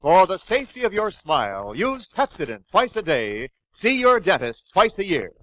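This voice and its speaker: Brief: high, lively-voiced male announcer, showy 1940s sponsor intro with faint music. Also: moderate pace, no room sound. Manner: booming, showy. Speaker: radio announcer. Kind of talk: 1940s radio advert announcing. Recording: dull 1940s radio broadcast with music.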